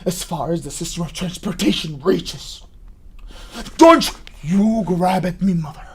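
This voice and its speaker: A man acting a trapped, bitter son in a theatrical monologue, swinging between hushed and shouted delivery. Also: high-pitched, measured pace, husky. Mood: bitter, defiant. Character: trapped, bitter young son arguing with his mother.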